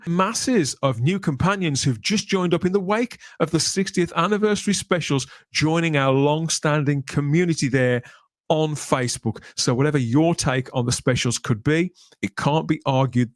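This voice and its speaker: A man hosts a podcast with chatty, colloquial presenter patter. His voice sits a little high for a man, and it is recorded close and dry, with very little room sound. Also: no room sound. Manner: wordy, colloquial, radio-presenter patter with tag questions. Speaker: podcast host.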